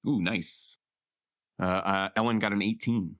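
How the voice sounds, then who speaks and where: A man's voice: fairly low pitch, steady tone, unhurried, noisy background. A man speaking casually and off the cuff as a player in a tabletop roleplaying game. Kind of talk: casual, unscripted game talk.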